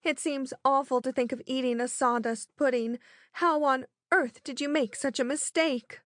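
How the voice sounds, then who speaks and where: A sensible young girl speaks deliberately, scornful of any fuss, as a woman reading aloud puts her on. Her voice sits a little high. The sound is dry and close. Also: fairly even intonation, fairly bright tone, no room sound.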